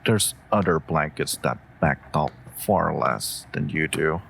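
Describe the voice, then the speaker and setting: Wolf familiar, played by a man: stoic protector, slow drawl, deep register, dry humor, comfort audio. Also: lively intonation, creaky, a bit bright, husky, heavily acted. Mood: soothing, dry teasing. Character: stoic wolf familiar, gruff but tenderly protective of mage. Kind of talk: intimate comfort audio roleplay.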